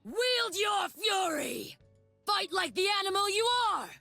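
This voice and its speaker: A fierce warrior queen of a frozen land for a video game. She issues grave, commanding battle declarations at a deliberate pace, her pitch swinging widely. Music plays faintly underneath. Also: sing-song, vocal fry, pushed and bright, dry recording, heavily acted. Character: fierce, stern warmongering female war chief of the frozen north. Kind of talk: video game character voice lines.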